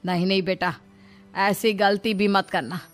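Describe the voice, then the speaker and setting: A woman's voice: mid-range voice, steady tone, a little slow, lightly acted. A woman voicing a shrewd middle-aged mother-in-law in a story, coaxing, motherly and firm. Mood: firm, advising. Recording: music behind the voice.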